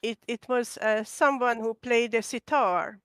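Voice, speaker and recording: A woman's voice: a little high, steady tone, a little slow, smooth, no echo, studio quality. A woman from Hungary talking as a guest in a casual chat, hesitant, with many ums and restarts. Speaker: guest artist.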